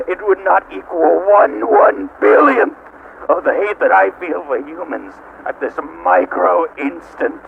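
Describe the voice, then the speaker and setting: A hateful, godlike machine villain, speaks in a high, creaky voice and stretches words with theatrical menace. It is sadistic and mocking, delivering a dramatic monologue on a dull, lo-fi home recording. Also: male voice, full character voice. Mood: seething hatred. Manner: theatrical, menacing, drawn-out words, swinging from hushed to shrieking. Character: sadistic, hate-consumed male supercomputer, mocking, theatrical, godlike. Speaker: amateur voice actor.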